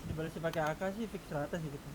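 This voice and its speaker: A man's voice: fairly high pitch, fairly even intonation, very fast. A young man in casual gaming chat, explaining things informally over a noisy microphone.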